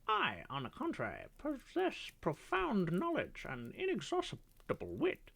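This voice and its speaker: A woman's voice: medium pitch, wildly swooping pitch, measured pace, creaky, fairly bright tone, pitched above the performer's own voice, heavily acted. A woman voicing a boastful, chattering male pet parrot with a smug, mocking manner and a squawked refrain.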